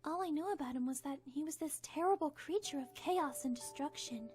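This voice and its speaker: A woman voicing a gentle, anxious young female pony in an animated fan drama. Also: high voice, brisk, lightly acted. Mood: wistful, uneasy. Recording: music behind the voice.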